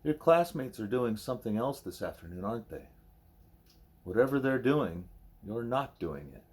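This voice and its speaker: A man reading the part of a wise, patient gorilla teacher in an audiobook, speaking in formal, measured, probing sentences. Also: mid-range voice, sing-song, darkish tone, breathy edge.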